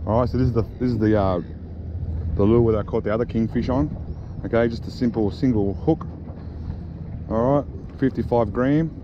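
A man's voice: mid-range voice, normal speed, long pauses. Middle-aged man on a windy boat. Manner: chatty, frequent "okay" and "all right" fillers. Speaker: fishing vlogger. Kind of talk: casual commentary while fishing. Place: small boat on a harbour, outdoors. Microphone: action camera on the angler. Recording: dull action-camera audio with wind noise. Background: wind and water noise.

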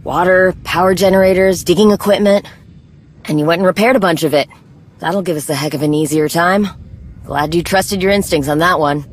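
Game warrior woman, played by an actress: low-pitched, blunt, dry, proud, no time for niceties. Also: a little fast, close to an everyday voice. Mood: dry approval. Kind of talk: video game cutscene acting.

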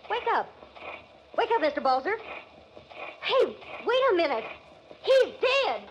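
High-voiced, brash jive-talking young American shop girl. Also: big pitch swings, measured pace, a little hiss or hum, full character voice. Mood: alarmed. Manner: slangy, sassy, jive-talking. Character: brash slangy young jazz-mad shop girl, quick to bristle. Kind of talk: 1940s radio drama acting. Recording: dull, band-limited old radio recording.

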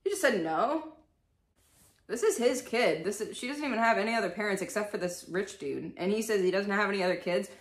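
A woman's voice: mid-range voice, animated, fairly quick. A young woman hosting a dramatic storybook reading, with casual, irreverent asides, recorded in a bedroom. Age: young adult. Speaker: storyteller and video host. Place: indoors, small bedroom. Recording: echoey room.

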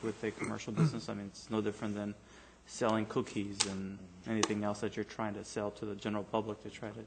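A man's voice: lowish voice, little pitch movement, fast, gravelly, background noise. A man on a city council arguing his point in a meeting, hesitant and plainspoken, often repeating words, in a council chamber.

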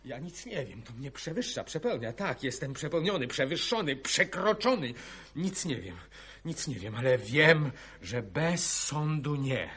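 An actor playing a bewildered, protesting idealist in a grotesque radio play, declaiming and repeating words with desperation. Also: male voice, medium pitch, very animated, unhurried, a bit bright, breathy edge, full character voice. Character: anguished, bewildered idealist protesting a mock trial.

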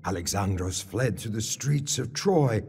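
An actor as the grave storyteller of an ancient Greek legend in a video game, narrating in a solemn, measured way. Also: male voice, on the low side, expressive, creaky, darkish tone, husky, full character voice. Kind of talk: scripted epic narration.

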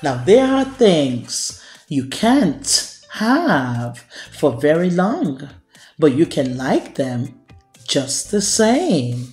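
A woman hosting a children's storytime, reading a picture book aloud in a deliberate, expressive storyteller's delivery. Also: low voice, soft background music.

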